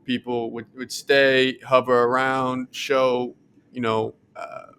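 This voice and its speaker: A man's voice: mid-range voice, level tone, takes it slowly, fairly bright tone, a little hiss or hum. A man co-hosting a podcast in casual, reflective conversation. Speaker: podcast co-host. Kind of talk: casual chat.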